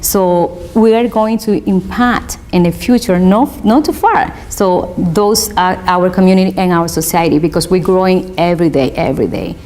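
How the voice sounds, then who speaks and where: A woman with a Spanish accent, a native Spanish speaker and law student, giving an earnest, motivational interview in halting non-native English. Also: lowish voice, warm tone. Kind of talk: interview, personal testimony.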